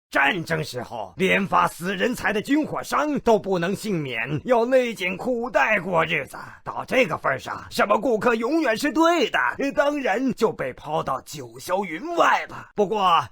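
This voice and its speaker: Game gnome narrator: cynical and bloodthirsty, forceful raspy edge, swooping theatrical delivery, very dry recording. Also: male voice, high voice, no echo, heavily acted. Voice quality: gravelly, raspy. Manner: theatrical, sarcastic, gleefully morbid. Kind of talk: theatrical fairy tale narration.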